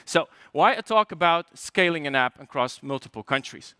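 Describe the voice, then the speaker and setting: A man's voice: fairly high pitch, expressive, moderate pace, sharp tone, clean tone, dry recording. A man from Poland with a light Polish accent, giving a conference tech talk in a chatty way, with joking asides, fillers and restarts. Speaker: conference speaker.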